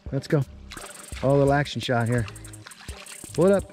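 A man's voice: mid-range voice, normal speed, darkish tone, soft background music. A man in his forties filming an outdoor camping vlog, narrating and coaching with enthusiasm at a lake and campsite. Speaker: vlogger. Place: outdoors at a forest lakeside and campsite.

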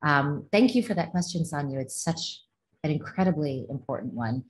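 Woman, deep low voice, online panel, reads questions aloud, hesitant restarts, video call. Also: expressive, moderate pace. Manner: thoughtful, frequent ums and restarts. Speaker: literary translator and panelist. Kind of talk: online panel discussion, reading audience questions. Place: home living room indoors. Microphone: laptop video call.

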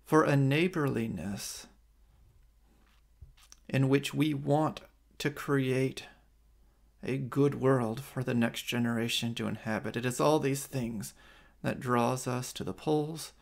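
Gravelly-voiced American priest, measured. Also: male voice, mid-range voice. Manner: slow, measured liturgical reading. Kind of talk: reflective homily and night prayer. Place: living room at home, indoors at night. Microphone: webcam on a video stream.

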